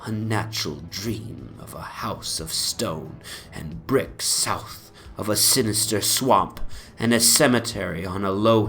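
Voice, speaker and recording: A man giving a theatrical, brooding and anguished dramatic reading of literary horror. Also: a bit bright. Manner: theatrical, archaic phrasing, brooding and anguished first-person delivery. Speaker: dramatic reader of a horror story. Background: soundscape rumble.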